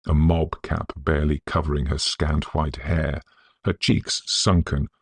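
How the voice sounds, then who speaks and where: Male narrator for Victorian supernatural fiction: bottom-of-the-range bass, husky grain, scholarly and atmospheric, deliberate phrasing. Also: little pitch movement, no echo. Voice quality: gravelly, husky. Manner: scholarly, measured, atmospheric delivery. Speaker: narrator of a Victorian ghost story. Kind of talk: scripted dramatic audiobook narration.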